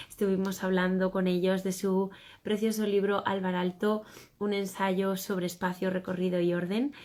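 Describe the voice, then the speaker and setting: Woman hosting a live book club, bit hoarse, gushing, talks right to viewers. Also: on the low side, a little mellow. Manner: effusive, addresses viewers directly. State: slightly hoarse.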